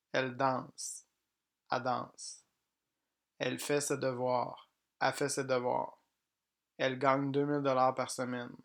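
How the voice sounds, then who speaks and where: A man teaching pronunciation, reading each example sentence slowly and plainly, often twice. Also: mid-range voice, fairly bright tone. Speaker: French language teacher. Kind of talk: slow pronunciation drill.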